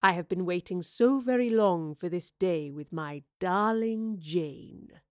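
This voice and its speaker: Woman acting a smug, slow-talking sinister male villain. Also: fairly low pitch, darkish tone, no echo, studio quality. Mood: smug menace. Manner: slow, mockingly sincere, unhurried. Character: sinister male villain, smug, mock-tender and coldly menacing.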